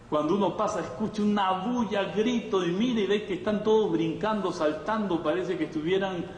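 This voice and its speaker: A man's voice: sits high, darkish tone. A man preaching a conversational sermon to a congregation, teaching with rhetorical questions and checking in with his listeners. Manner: didactic, with rhetorical questions and frequent check-in tags. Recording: echoey hall, distant mic, faint noise.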